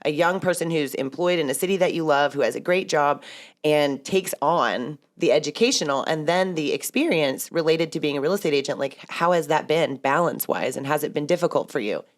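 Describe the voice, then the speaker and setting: A woman's voice: low-pitched, fairly quick. A woman in her thirties hosting a casual podcast chat, chatty and laughing often as she talks real estate.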